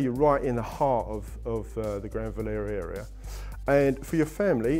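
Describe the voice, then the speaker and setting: A man's voice: medium pitch, mellow. A middle-aged man answering interview questions about ski holidays, enthusiastic and salesy, with frequent restarts. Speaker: travel company guest. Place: indoor studio set with wooden walls.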